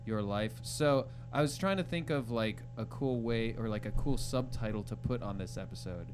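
A man hosting a podcast in casual interview chat, with informal, halting, joking phrasing. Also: medium pitch, soft background music. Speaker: podcast host.

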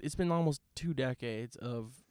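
Measured man chatting on a dry-sounding podcast. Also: medium pitch, clean tone, no echo. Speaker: podcast co-host reviewing films. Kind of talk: casual film discussion.

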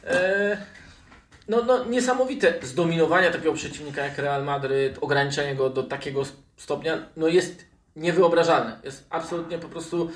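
A man hosting a casual football discussion in an animated, emphatic way. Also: a little high, normal speed. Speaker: podcast host. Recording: roomy, distant mic with noise and clicks.

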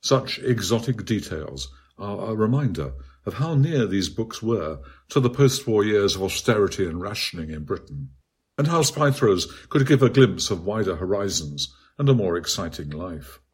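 A man reads his own literary essay aloud as scripted narration, in a measured, articulate and bookish manner. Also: fairly low pitch, very animated, breathy edge. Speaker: author reading own article.

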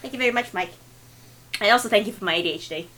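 Bright-voiced teasing woman with a Kiwi accent. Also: medium pitch, rapid-fire, slight background noise. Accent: New Zealand. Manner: playful, teasing, deadpan jokes. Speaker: podcast co-host. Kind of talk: casual podcast banter.

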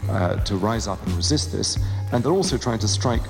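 Brief: male analyst, low and creaky, reverberant hall sound, faint music. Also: expressive, brisk. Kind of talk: analytical explanation.

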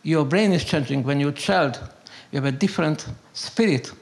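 An older man from Hungary with a Hungarian accent, a native Hungarian speaker, telling his story in halting, broken English with emphatic repetition. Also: on the high side, creaky. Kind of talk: unscripted conversational storytelling and philosophizing.

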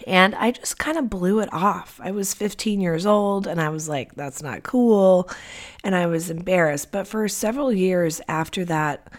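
A woman's voice: on the low side, measured pace. A woman hosting a solo podcast in a casual, personal, confiding way, her voice congested from a cold. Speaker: podcast host.

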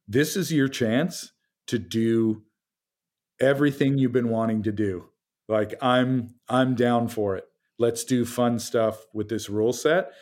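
A man with a deep voice, a game designer talking casually in an interview, relaxed and full of fillers. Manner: relaxed, chatty, many fillers and restarts.

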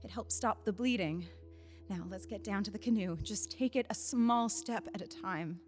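A woman's voice: medium pitch, normal speed, soft background music, close to an everyday voice. An actress playing a desperate young wife who is nursing her shot husband, speaking in an urgent, coaxing way and praying under her breath. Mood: determined.

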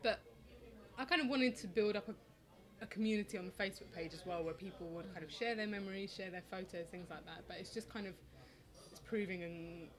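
A young woman from England with an English accent, answering interview questions in a relaxed, hesitant way with many ums and restarts. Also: medium pitch, brisk. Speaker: interview guest, oral history project maker. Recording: crowd noise in background.

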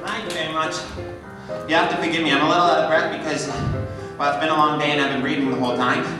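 A man's voice: flat delivery, fast, natural voice. A man impersonating a zany old vaudeville comedian, delivering theatrical stage patter. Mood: breathless, comic. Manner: theatrical, rattling off lists and sales pitches. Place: on stage in a large auditorium. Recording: echoey theatre, distant microphone, music behind.

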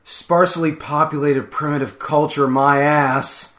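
A man's voice: on the high side, steady tone, a little slow, fairly bright tone, pitched above the performer's own voice. A man voicing an exasperated, sarcastic starship crewman who gets flustered, in a dramatic fan fiction reading. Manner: stammering, sardonic.

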